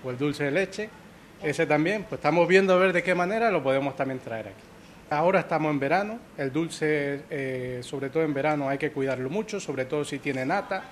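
A man's voice: on the high side, fairly quick, a little hiss or hum. A man from Ecuador, the manager of a pastry shop, talking in an interview with many fillers and restarts. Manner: hesitant, repeats words, many fillers. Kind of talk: interview soundbite.

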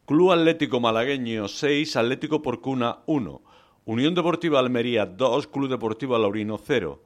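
A man presenting a sports news bulletin in a steady, formal delivery. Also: mid-range voice, normal speed. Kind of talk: scripted news reading.

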